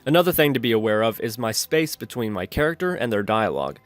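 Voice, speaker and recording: A man's voice: mid-range voice, animated, fast talker, dry recording. A man narrating an instructional screencast as a tutorial host, explaining methodically step by step. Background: background music.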